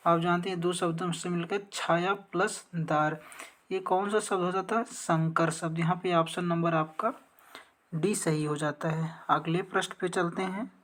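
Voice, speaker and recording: A woman's voice: lowish voice, fairly bright tone. A woman teacher explaining practice exam questions step by step, often asking a question and then answering it herself. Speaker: teacher, exam coaching instructor.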